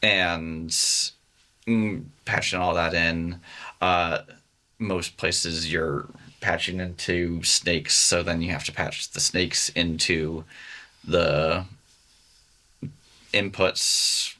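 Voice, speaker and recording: A man, a music engineer guest, talking casually in an interview, pausing often with fillers. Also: low-pitched, little pitch movement, bright, forceful tone. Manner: hesitant, many fillers.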